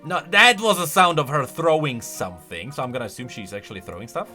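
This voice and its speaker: A man hosting a game channel, giving casual reaction commentary in an excitable way as he thinks aloud and speculates. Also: on the high side, quick pace. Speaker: host of a game lore channel. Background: music.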